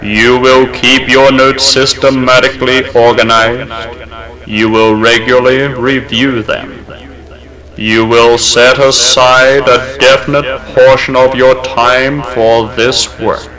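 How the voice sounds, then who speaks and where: A man speaking as a hypnotist, counting down in a slow, soothing, repetitive way and then giving firm suggestions. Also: medium pitch, level tone, crowd noise, rough recording. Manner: commanding suggestions. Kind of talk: hypnosis induction from an old record. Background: music playing under the voice.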